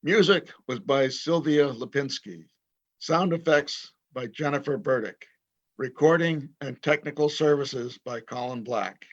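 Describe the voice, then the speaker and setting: A man's voice: medium pitch, measured pace, lo-fi sound. A man making a scripted announcement as the announcer of a radio play.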